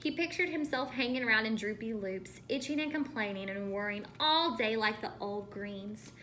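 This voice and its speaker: A woman reading a storybook aloud to children as a teacher, expressive and pausing to ask questions. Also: fairly high pitch, slight background noise.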